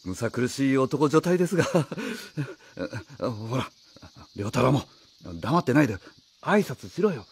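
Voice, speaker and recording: An actor playing a slovenly, shifty father in his forties in a radio drama, casual and husky. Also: mid-range voice, sing-song, slow, full character voice. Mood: falsely cheerful. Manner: casual, gruff with son, ingratiating with visitor. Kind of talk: Japanese radio drama acting.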